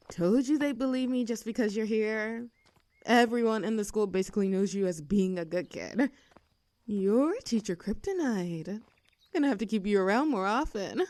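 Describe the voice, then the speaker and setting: A woman's voice: medium pitch, moderate pace, darkish tone, no room sound, close to an everyday voice. A young woman playing a rebellious teenage girl bully in an audio roleplay, with a sarcastic, teasing, brash manner. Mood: cocky teasing. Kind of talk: scripted roleplay audio drama.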